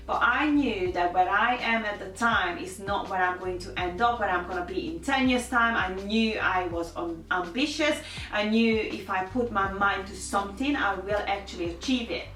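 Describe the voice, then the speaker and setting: A woman's voice: mid-range voice, pushed and bright. A woman in her thirties from Hungary with a Hungarian accent, candidly telling her life story as a vlogger with many fillers and restarts. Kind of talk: personal life story to camera. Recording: roomy sound, mic at a distance, faint music.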